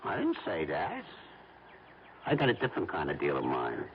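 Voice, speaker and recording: An actor playing a shady small-time photographer turned blackmailer in a radio drama, sly and familiar, with slangy, ungrammatical, falsely folksy talk. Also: male voice, rumbling low, wildly swooping pitch, normal speed, heavily acted. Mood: pressing, wheedling. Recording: tinny, noisy old radio broadcast.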